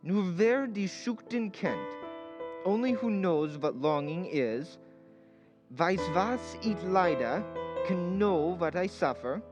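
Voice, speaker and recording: A man playing a gentle German professor who loves poetry, speaking with deliberate, formal phrasing in old-time radio drama style. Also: high-pitched, expressive, dull audio. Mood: yearning recitation. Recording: prominent music behind the voice.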